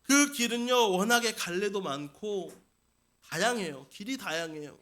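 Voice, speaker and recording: A man's voice: high-pitched, animated, measured pace, fairly bright tone. A man preaching a Sunday sermon as senior pastor, putting questions to the congregation and repeating key points for emphasis. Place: church service.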